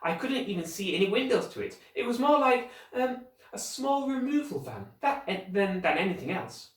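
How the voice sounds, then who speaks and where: An excitable young schoolboy from a storybook, acted out by a man. He speaks with dramatic emphasis and a swooping, raised pitch. The recording is roomy and a little distant. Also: normal speed. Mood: excited, puzzled. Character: excitable schoolboy describing a mystery. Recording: roomy echo, distant microphone.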